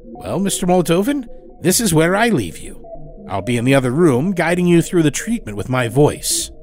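A man's voice: fairly high pitch, sing-song, normal speed, darkish tone, soft background music, voice pushed up. A man playing a smug, jovial doctor in a horror audio story, patronizing and coaxing as he talks. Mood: smooth, businesslike. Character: smug, jovial doctor running a sinister dream machine.

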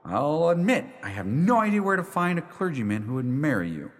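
Man playing an exasperated, wildly animated husband. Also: fairly quick. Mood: persuasive. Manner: emphatic, imploring, high-strung. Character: exasperated, pleading husband reasoning with ghosts. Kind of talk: radio play acting. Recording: long echoing reverb.

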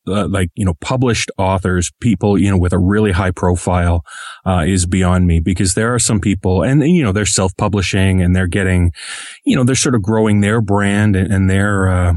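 A man's voice: low-pitched, normal speed, a little mellow, dry recording. A man speaking as an interviewed podcast creator, thoughtful and wordy, with a self-deprecating streak in conversation.

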